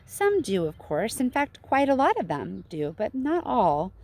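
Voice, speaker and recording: A woman's voice: on the high side, huge pitch swings, unhurried, a little mellow, heavily acted. A woman voicing a mild, reasonable father in a children's storybook. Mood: reassuring. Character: mild, reasonable father reading the newspaper aloud. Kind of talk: children's storybook read-aloud.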